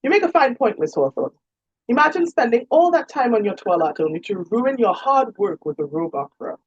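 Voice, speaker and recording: A woman reading as a genial, playful young gentleman with a flowery, amused manner. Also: on the high side, very animated, a little fast, breathy edge, pitched above the performer's own voice. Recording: roomy, dull lo-fi sound.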